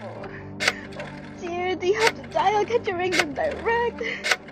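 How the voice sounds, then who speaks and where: A woman's voice: extremely high pitch, measured pace. A woman acting an anxious, demanding, bedridden wife in a radio play, agitated and indignant as she insists on being heard. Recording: muffled recording under loud dramatic music.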